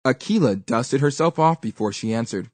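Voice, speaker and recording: A woman reading a children's story aloud with expressive, deliberate and dramatic emphasis. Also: extremely low pitch, no echo.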